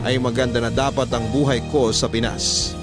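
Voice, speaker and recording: A man with a mid-pitched voice narrates a scripted first-person story in the manner of a radio storyteller. Violin music plays clearly behind him. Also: flat delivery, moderate pace.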